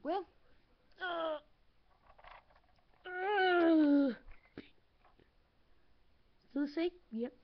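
The speaker is a high-pitched woman who talks slowly and playfully as she makes up lines for toy figures. She stumbles and restarts, filling gaps with ums and giggles. The recording is muffled and lo-fi, made in a small dim room at home. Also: a little hiss or hum. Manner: giggly, halting, full of fillers and restarts. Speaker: home-video maker voicing toy figures. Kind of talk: playful improvised toy-movie chatter.

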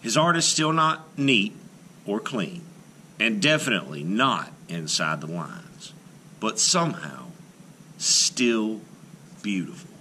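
A man reading a children's picture book aloud expressively, with dramatic phrasing and deliberate pauses. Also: lowish voice, big pitch swings, bright, forceful tone, slight background noise.

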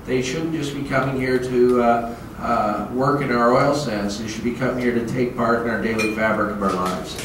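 A man's voice: mid-range voice, steady tone, moderate pace. A man in his thirties on a political panel, speaking with many fillers and pushing for action, on a handheld mic. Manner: many filler uhs, emphatic calls to act. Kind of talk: panel debate answer. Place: large hotel meeting room. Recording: far-off echoey hall with noise.

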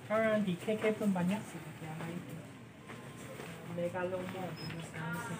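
A man's voice: sits high, steady tone, moderate pace, heavy background noise. A young man chatting casually inside a mall shop, picked up off the mic by someone else's phone. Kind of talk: casual chat. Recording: loud music, off the mic. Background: loud music.

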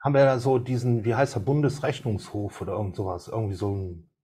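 A man's voice: fairly low pitch, fairly even intonation, fast, warm tone, husky. A man rambling and searching for words in a casual video call discussion, often ending with tag questions. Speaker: participant.

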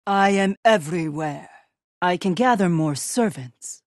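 A woman's voice: fairly low pitch, expressive, measured pace, no room sound, studio quality. An actress playing a vengeful demon witch and wronged mother in a video game, menacing and theatrical. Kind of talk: dramatic video game villain acting.

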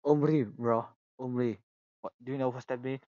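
A young man with a Russian accent, hosting a casual joking chat on a voice call, stammering and excitable. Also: mid-range voice, moderate pace, no echo, muffled recording. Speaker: channel host. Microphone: phone on a voice call.

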